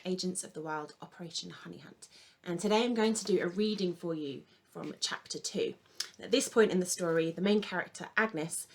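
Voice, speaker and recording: A woman author reading from her own children's book in an expressive storytelling style. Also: on the low side.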